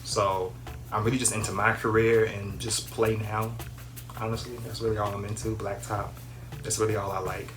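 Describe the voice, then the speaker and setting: Rambling, low-voiced casual man. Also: flat delivery, moderate pace, poor audio. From Minnesota. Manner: rambling, conversational, lots of fillers. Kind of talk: casual chat. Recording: music playing behind the voice.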